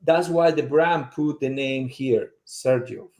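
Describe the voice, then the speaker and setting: A man's voice: medium pitch, steady tone, takes it slowly, a little mellow. A man, speaking casually as a guest. He talks, often searches for words and uses many fillers. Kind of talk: casual conversation. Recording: echoey room.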